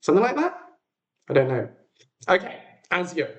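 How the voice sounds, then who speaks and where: A man's voice: sits high, sing-song, fairly quick, muffled recording. A man in his twenties with an English accent, rambling casually to a livestream chat in a chatty, self-deprecating manner. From England. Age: 20s. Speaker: livestream host.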